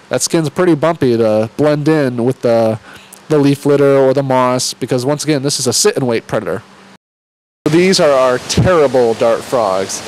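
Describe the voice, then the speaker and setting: A man gives an informal educational talk in the role of a zoo keeper. He explains things in a casual, conversational way. There is clear background noise, like a ventilation hum, around his voice. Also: mid-range voice, poor audio. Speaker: zoo amphibian keeper presenting exhibits. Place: zoo exhibit area.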